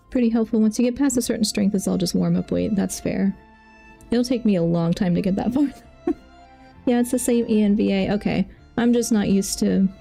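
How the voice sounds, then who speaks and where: Female livestreamer, soft dark tone, casual off-the-cuff chat, faint game music behind. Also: mid-range voice, vocal fry. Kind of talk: casual livestream chat, reading dialogue choices aloud.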